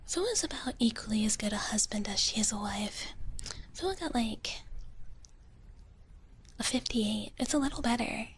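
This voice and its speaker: A woman ASMR streamer chatting casually in a soft, breathy whisper, close to the microphone, in a playful, rambling, intimate way. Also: a little high, expressive, measured pace, long pauses, slight background noise. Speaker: ASMR livestreamer. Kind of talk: ASMR, casual chat with viewers.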